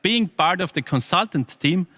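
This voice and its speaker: Bright-voiced man hosting an online event. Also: high voice, lively intonation, normal speed, no room sound, clean studio sound. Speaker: show host. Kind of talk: online business event presenting.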